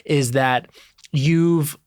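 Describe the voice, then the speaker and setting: A man's voice: fairly high pitch, fairly even intonation, extremely slow, a little mellow, dry recording, clean studio sound. A man co-hosting a podcast in casual chat, rambling and restarting as he asks his questions. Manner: rambling, many restarts and fillers. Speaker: podcast co-host.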